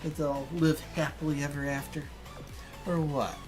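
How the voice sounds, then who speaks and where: A man's voice: on the high side, little pitch movement, normal speed, music in the background, close to an everyday voice. A man voicing a mocking female villain from a visual novel, theatrical and full of scornful questions. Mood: scornful, taunting. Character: mocking, taunting female villain, a dark double.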